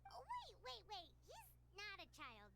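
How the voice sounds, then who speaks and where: Game companion: tiny floating girl, chirpy and childlike, very high-pitched and animated. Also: a little slow, a little hiss or hum, exaggerated voice. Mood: baffled. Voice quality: squeaky, childlike. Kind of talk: video game character acting.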